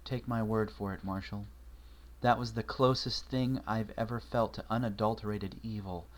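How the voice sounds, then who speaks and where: A man reading a story aloud, voicing an anxious, bookish young pony mare who talks earnestly and often in a hushed voice. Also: fairly low pitch, fairly even intonation, moderate pace, natural voice.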